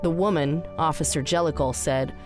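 A woman narrates a scripted piece of fiction for an audio drama. Her voice sits low for a woman, and music plays clearly underneath as she reads. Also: normal speed.

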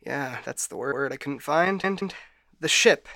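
A man's voice: medium pitch, animated, unhurried, creaky, bright, forceful tone, no echo, full character voice. Guy doing a glitchy paranoid ship ai, sci-fi audio drama, trips and loops on words, tense edge, close dry sound. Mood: halting, scheming. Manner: stammering, stuck repeating words, searching for lost words. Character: frightened, broken male ship AI, paranoid and unstable. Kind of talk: dramatic science fiction audio monologue.

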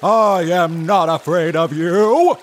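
A big, bold billy goat. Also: male voice, high voice, takes it slowly, slight background noise. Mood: defiant.

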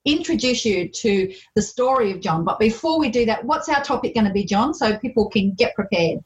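A woman's voice: a little high, lively intonation, a little fast, muffled recording. A woman hosting a podcast interview, chatting with an enthusiastic manner and laughing easily. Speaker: podcast host. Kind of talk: casual interview chat.

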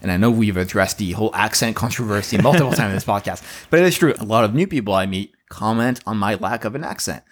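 Brief: young man, low-ish voice, easygoing podcast co-host, quick to laugh. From France. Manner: relaxed, joking, laughs often. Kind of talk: casual podcast chat.

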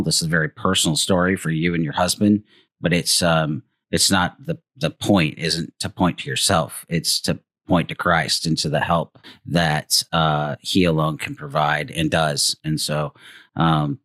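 A man's voice: low voice, fairly bright tone, no echo. A man hosting a podcast interview, talking casually with many fillers and restarts. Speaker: podcast host.

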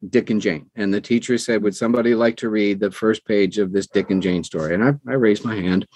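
A middle-aged or older American man telling personal stories in an animated, conversational way as an interview guest. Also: fairly low pitch, a little fast, no room sound. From United States, New Jersey. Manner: animated, acts out quoted dialogue. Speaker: interview guest, audiobook narrator. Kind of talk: conversational storytelling.

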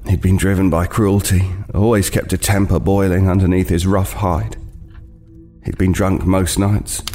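Low brooding homeless man narrating audio horror drama, halting and uneasy, music behind. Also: dark tone. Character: haunted, uneasy homeless man telling his tale. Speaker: narrator and lead actor.

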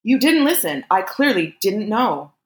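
A woman voicing a furious, frightened woman lashing out at her lover in a sharp, commanding way, as in an audiobook. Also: medium pitch, very animated, a little slow, echoey room, voice pushed up.

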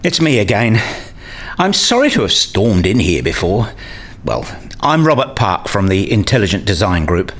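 Weary irritable male academic, acted by a man, lowish pitch, dry, sardonic, first-person radio play narration. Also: very animated, moderate pace, a bit bright, exaggerated voice. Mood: sheepish.

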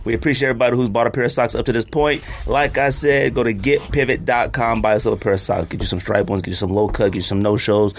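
A man hosting a sneaker podcast, joking around with friends and reading the sponsor spot in a loose, animated way. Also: mid-range voice, fast talker, hardly any pauses, a little hiss or hum. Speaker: podcast host. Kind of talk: casual chat.

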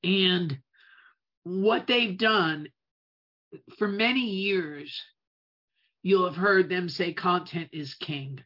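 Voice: medium pitch, drawn-out words, long pauses. A speaker whose first language is Italian, giving a casual talk on running a web business, stretching out key words for emphasis and often restarting phrases. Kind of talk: casual presentation. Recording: dull lo-fi recording.

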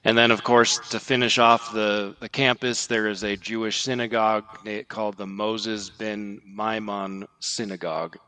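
A man's voice: fairly low pitch, flat delivery, moderate pace, few pauses, bright, forceful tone, clear, resonant tone, rough recording. A man speaking as a podcast guest, giving conversational, explanatory commentary.

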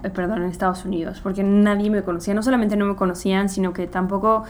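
A woman's voice: medium pitch, level tone, fast, a little hiss or hum. A woman musician from Mexico with a Mexican Spanish accent, whose first language is English, chatting in an interview and telling stories in a rambling way, full of fillers. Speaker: guest musician. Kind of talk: casual interview chat.

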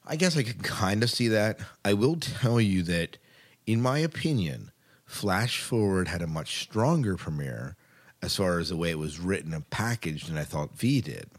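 Guy podcast host, really low voice, chatty and rambling. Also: very animated, normal speed. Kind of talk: casual sci-fi podcast talk.

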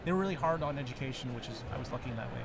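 A man chatting in a rambling, laughing, animated way with lots of fillers as a podcast guest. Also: medium pitch, very fast, creaky. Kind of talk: casual chat. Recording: crowd noise behind. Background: crowd chatter.

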